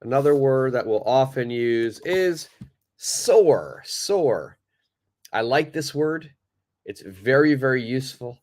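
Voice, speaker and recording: A man teaching English in a live online lesson, who speaks slowly and deliberately, repeats key words for his learners, and has a stuffed-up nose. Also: mid-range voice, expressive, a little mellow.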